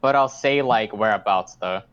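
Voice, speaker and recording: A man's voice: fairly low pitch, normal speed, a bit bright, clean tone, muffled recording. A man, telling stories in a casual podcast chat, swearing freely and rambling with lots of fillers. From South Auckland, New Zealand. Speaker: podcast co-host.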